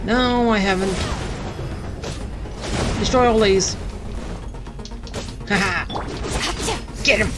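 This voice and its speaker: A woman's voice: medium pitch, drawn-out words, long pauses. A woman gamer giving casual commentary while playing a video game. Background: game music and sound effects.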